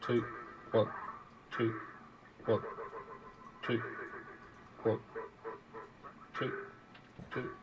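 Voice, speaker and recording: Very slow man testing a noisy radio. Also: mid-range voice, little pitch movement. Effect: echo unit, heard through CB radio. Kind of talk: radio microphone test count. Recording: noisy CB radio test with echo.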